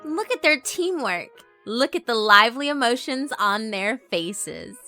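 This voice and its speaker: A woman's voice: a little high, expressive, unhurried, pushed and bright, faint music behind, pitched above the performer's own voice, heavily acted. A woman voicing a sinister spirit girl who gloats and mocks as she feeds on bullying. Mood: gloating. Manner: gloating, mocking, laughs to herself.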